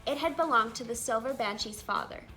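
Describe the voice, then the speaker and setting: A woman hosting a children's read-aloud, telling a storybook in an animated, expressive way with emphatic exclamations. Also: a little high, brisk. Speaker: read-aloud channel host. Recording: music behind the voice. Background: music.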